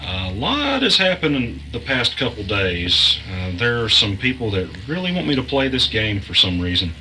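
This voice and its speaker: An actor playing an anxious podcast host in an audio drama, earnest and hesitant in natural dialogue and narration. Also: male voice, medium pitch, sing-song, normal speed, bright, forceful tone, a little hiss or hum, poor audio. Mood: uneasy, hesitant. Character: anxious male podcast host caught in a conspiracy.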